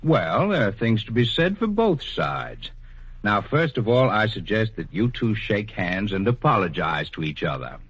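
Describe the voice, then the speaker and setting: An actor playing a patient, kindly bureaucrat in 1940s radio drama, measured and officious with small hesitations. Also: male voice, on the low side, big pitch swings, a bit bright, heavily acted. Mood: patient, official. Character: patient, kindly, bureaucratic male superintendent of the afterlife. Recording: dull, husky old radio recording.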